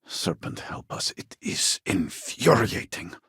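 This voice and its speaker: An actor playing an earnest, exasperated scientist from a devout society in a sci-fi video game, speaking formally with a husky voice. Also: male voice, sits low, very animated, fairly bright tone, no echo, studio quality, full character voice. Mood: frustrated, weary. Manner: formal, measured, articulate. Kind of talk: video game character dialogue.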